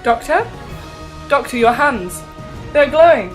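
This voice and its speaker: A woman's voice: sits high, animated, takes it slowly, faint music behind. A woman playing a plucky, stubborn young babysitter in an audio drama, speaking insistently. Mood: alarmed.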